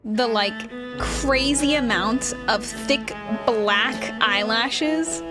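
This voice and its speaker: A woman's voice: a little high, drawn-out words. A woman giving casual game commentary as a streamer, chatty and playful, often repeating words. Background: game music.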